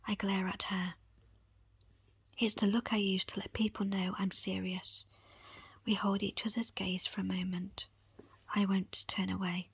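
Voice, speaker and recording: A woman narrating a first-person story as an ASMR audiobook reader, in a breathy, unvoiced whisper. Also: medium pitch, flat delivery, unhurried, long pauses, no room sound.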